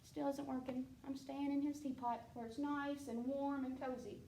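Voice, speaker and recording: A woman's voice: high voice, little pitch movement, moderate pace, gravelly, warm tone. A woman voicing a stubborn, lazy dragon who drawls his refusals, in a storybook reading. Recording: roomy distant mic, faint background noise.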